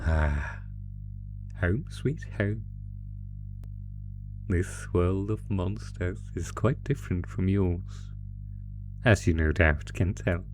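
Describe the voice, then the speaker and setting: A man voicing a sinister, sadistic monster from under the bed in a horror roleplay, taunting his prey in a deliberate, mock-genteel, theatrical way. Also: sits low, a little mellow. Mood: gloating menace. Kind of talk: horror roleplay audio, slow theatrical menace. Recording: music under the voice. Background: music.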